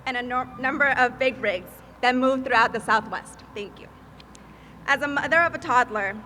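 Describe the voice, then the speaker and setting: Woman from Peru at a podium, bright, slightly high voice, faint hiss behind. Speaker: advocacy field manager and mother. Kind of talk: prepared speech at a press event.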